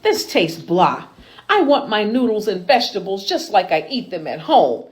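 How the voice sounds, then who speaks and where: A woman's voice: medium pitch, big pitch swings, moderate pace, natural voice. A woman voicing a fussy, spoiled little princess in a storybook, whining and demanding as she complains. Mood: grumbling. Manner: whiny, complaining.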